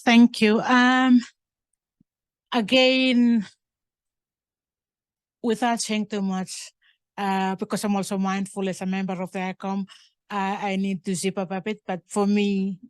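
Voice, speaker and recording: An older woman answering questions as a guest speaker, unscripted, in a halting manner that often rephrases mid-sentence. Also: medium pitch, level tone, smooth, dry recording. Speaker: guest speaker and curator at a museum talk. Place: indoors at a museum talk event.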